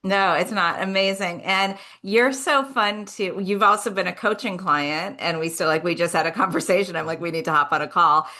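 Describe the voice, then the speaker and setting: Woman interview host and coach; lowish, bright voice, animated delivery. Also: normal speed, hardly any pauses. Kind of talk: conversational interview.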